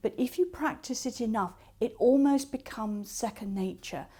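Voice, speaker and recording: Woman coach, higher-pitched voice, presenting practical self-help tips in clear steps with spoken examples. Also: normal speed, mellow. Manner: explains step by step with acted-out example remarks. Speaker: creative coach giving advice.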